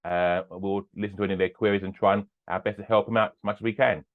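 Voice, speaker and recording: An older man speaks earnestly as an interview guest, circling back over his own phrases as he goes. His voice sits very low. It comes through a thin, phone-like line. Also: fairly even intonation, quick pace. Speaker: podcast guest, business owner. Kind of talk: casual interview chat.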